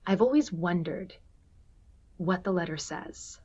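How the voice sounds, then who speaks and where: A woman's voice: on the low side, expressive, unhurried, dull audio, background noise. A woman playing an earnest, unsure heiress in an online table read of a stage musical, recorded over a video call. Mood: unsure, wistful. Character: earnest, unsure adult banker's daughter.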